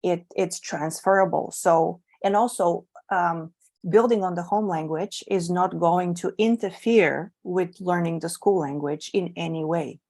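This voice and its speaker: Slow, measured woman. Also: on the low side, vocal fry, a little mellow. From Bulgaria. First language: Bulgarian. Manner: measured, thoughtful, with frequent pauses and ums. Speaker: guest expert on bilingual children. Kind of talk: explanatory interview answers.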